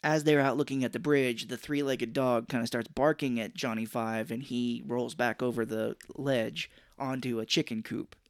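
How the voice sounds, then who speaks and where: A man's voice: mid-range voice, fairly even intonation, clear, resonant tone, no echo, studio quality. Joking man recapping a movie. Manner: joking, recaps scenes with quick put-on quotes. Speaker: podcast co-host. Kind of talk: casual movie recap chat.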